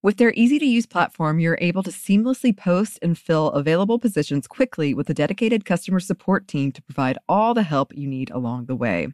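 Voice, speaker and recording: A polished announcer reads a scripted commercial smoothly, at a middle pitch. The recording is close and dry. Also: animated, a little fast, hardly any pauses, a little mellow, no room sound.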